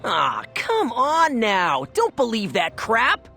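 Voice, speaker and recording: Brash, sharp-voiced hothead. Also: male voice, very high voice, huge pitch swings, takes it slowly, gravelly, breathy edge, faint music behind, heavily acted. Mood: dismissive. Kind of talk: dramatic game voice acting.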